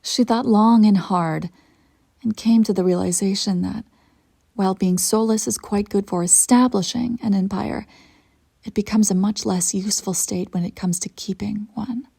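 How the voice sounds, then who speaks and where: Woman playing a husky, creaky-voiced immortal evil empress. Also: medium pitch, steady tone, dark tone. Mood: measured, musing. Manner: deliberate dramatic storytelling, haughty and intimate. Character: immortal ruthless evil empress sorceress, self-satisfied, coolly melodramatic. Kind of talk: scripted roleplay audio monologue.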